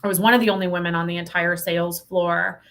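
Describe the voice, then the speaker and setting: A woman with a slightly low voice talks as an interview guest. She tells her story conversationally and frankly. Her phrasing is reflective, with "like" dropped in often. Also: fairly even intonation, fast talker, a bit bright. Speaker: podcast guest, sales coach.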